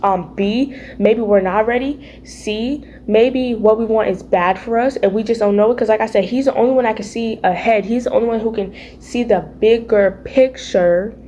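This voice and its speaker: A teenage girl with a raspy, mellow voice, talking loosely and playfully about her faith and calling her listeners baby and y'all. There is a faint hum of room noise behind her. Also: fairly high pitch, normal speed, hardly any pauses. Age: teens. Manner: chatty and playful, calls viewers y'all and baby. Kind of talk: casual faith vlog chat. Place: home, early morning.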